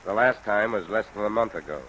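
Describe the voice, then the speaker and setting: An old radio recording with steady rain behind it carries a low-pitched male detective, played by an actor. He is probing, level-headed and clipped, as in a 1950s crime serial. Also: fast talker, a little hiss or hum, lightly acted, low-quality recording. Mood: probing, guarded. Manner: clipped, probing, matter-of-fact. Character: dogged, level-headed male insurance investigator in a detective drama.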